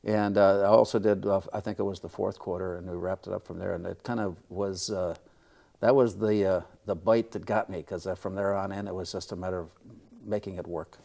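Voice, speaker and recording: An older man from Minnesota, a radio announcer reminiscing in an interview with measured, hesitant phrasing. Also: low-pitched, fairly even intonation, gravelly, dark tone. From Minnesota, USA.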